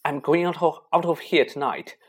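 Reader doing a fired-up schoolboy, loud and high, husky edge. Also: moderate pace, lightly acted. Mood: defiant. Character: desperate determined boy wizard, defiant.

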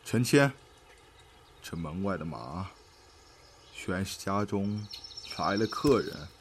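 A man's voice: low voice, expressive, slow, full character voice. A man playing a stern, curt father in a Chinese costume radio drama, speaking formally and coldly. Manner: formal, archaic, unwelcoming. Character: stern, curt, wary father living in the wilds. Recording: noisy track with outdoor nature ambience.

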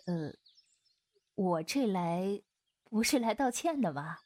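A woman's voice: medium pitch, animated, takes it slowly, darkish tone, no room sound. An actress playing an easygoing, apologetic Taoist swordswoman in a wuxia audio drama, speaking in a hesitant, roundabout way. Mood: sheepish, hedging.

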